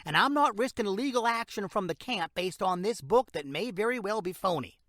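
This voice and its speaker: A man's voice: high voice, lively intonation, moderate pace, fairly bright tone, dry recording, clean studio sound. A man acting as a frank, condescending small-town sheriff in an audio drama. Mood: curt, brushing off. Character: dismissive, bureaucratic small-town male sheriff.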